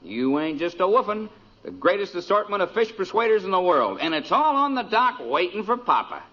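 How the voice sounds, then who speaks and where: Excitable high-voiced American husband. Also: male voice, fairly quick. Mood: excited. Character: excitable middle-aged husband, keen on fishing. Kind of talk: 1940s-50s radio sitcom acting. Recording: muffled, faintly noisy vintage radio recording.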